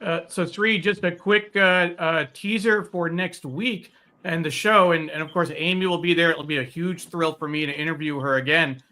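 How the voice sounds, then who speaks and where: A man co-hosting a casual live talk show, speaking in halting, measured asides. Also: a little high, fairly even intonation, sharp tone.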